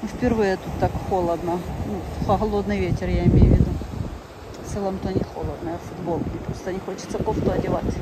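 A chatty woman talks to her phone camera as she walks along a seaside path, gushing about the view. Loud wind buffets the mic and surf crashes behind her. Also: fairly low pitch, steady tone, fairly quick. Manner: chatty, enthusiastic, exclaiming at the view. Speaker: vlogger. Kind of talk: casual walking vlog. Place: windy seaside promenade outdoors. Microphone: phone camera held while walking. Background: strong wind and crashing waves.

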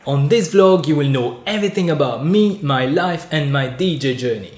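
A man's voice: fairly high pitch, expressive, moderate pace, a little mellow, slightly rough. A man from Mauritius, talking casually to his viewers as a vlogger. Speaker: vlogger, DJ and music producer. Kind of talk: casual vlog talk to camera.

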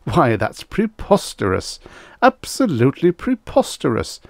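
A man's voice: medium pitch, wildly swooping pitch, a little slow, vocal fry, no room sound, pitched above the performer's own voice, exaggerated voice. Man playing a pompous, gushing mayor. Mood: amused, dismissive. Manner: gushing, grandiose speechifying. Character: effusive, pompous small-city mayor, a man, jovially disbelieving.

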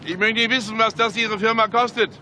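A man's voice: high voice, fairly even intonation, brisk, pushed and bright. An actor playing a needling, sly chief inspector in a radio play, drawing out his questions with sardonic insistence. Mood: sardonic, pressing. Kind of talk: German radio play acting. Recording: noisy outdoor scene with vehicle ambience.

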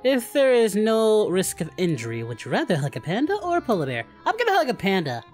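A man's voice: high voice, huge pitch swings, quick pace, a little mellow, faint music behind. A man voicing a parody of an anime martial-arts hero, cocky, playful and flirty, in improvised banter. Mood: easygoing, confident. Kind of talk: improvised in-character gaming banter.

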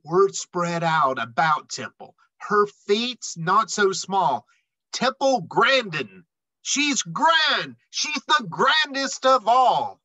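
A middle-aged man reading a children's picture book aloud expressively, with emphatic, dramatic phrasing and drawn-out words. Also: sits high, very animated, pushed and bright, no room sound. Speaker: read-aloud storyteller.

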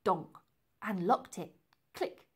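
Animated woman reading a storybook. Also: mid-range voice, slow pace, husky. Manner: dramatic, emphatic phrasing. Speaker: storybook reader. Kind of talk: expressive children's story narration.

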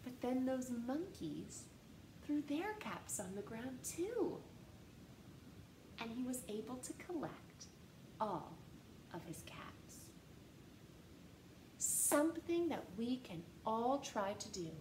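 A woman in her thirties reads a picture book to young children, slow and expressive. Her voice sits a little high. She speaks from a couch at home, recorded on the camera mic, with a roomy echo and faint hiss. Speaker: storytime reader. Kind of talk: children's read-aloud. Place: living room, seated on a couch. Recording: echoey room with faint hiss.

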